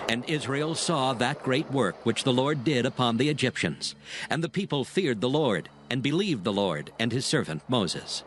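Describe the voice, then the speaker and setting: A man narrating scripture in a measured, scripted reading. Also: medium pitch, a little hiss or hum. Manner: measured, lively intonation. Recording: music bed behind.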